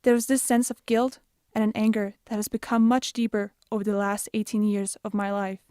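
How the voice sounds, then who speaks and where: A young woman with a Bosnian accent, an activist reading an earnest, measured personal narration. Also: mid-range voice, flat delivery, darkish tone, dry recording, clean studio sound. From Denmark. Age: young adult. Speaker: youth activist.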